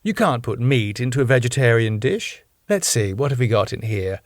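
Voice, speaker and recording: A man's voice: mid-range voice, big pitch swings, normal speed, dry recording, clean studio sound, lightly acted. A man voicing a cheerful, domineering middle-aged wife in a raised voice. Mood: breezy. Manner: sweet but domineering.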